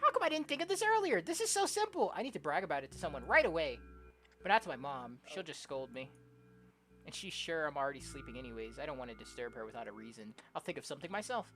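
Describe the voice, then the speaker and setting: Girl streamer reading a visual novel out loud, super animated, bright voice, slangy, game music behind. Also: sits low, fast talker. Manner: expressive, often giggly, slangy. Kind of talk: let's-play, reading game text aloud with casual reactions.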